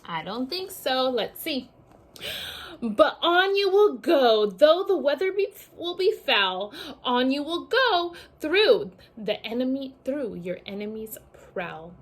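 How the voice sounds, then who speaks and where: A woman teacher doing an expressive children's read-aloud, speaking directly to her young listeners in an animated way. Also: sits high, slow pace. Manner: animated, addresses children directly with questions and asides. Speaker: teacher reading a story aloud to young pupils.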